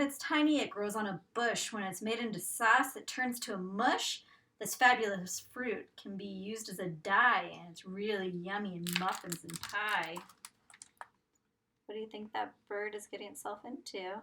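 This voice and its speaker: A woman teacher reading a picture book aloud to young children, expressive and sing-song, pausing to ask guessing questions. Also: medium pitch, far from the mic, noisy background.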